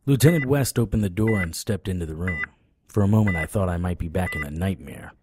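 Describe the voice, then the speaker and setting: Low-voiced, world-weary noir detective played by an actor. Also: male voice, brisk, background noise, natural voice. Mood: wry, recollecting. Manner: wry, deadpan. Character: world-weary, wry male supernatural private detective. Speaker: narrator and lead actor. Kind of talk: noir first-person audio drama narration.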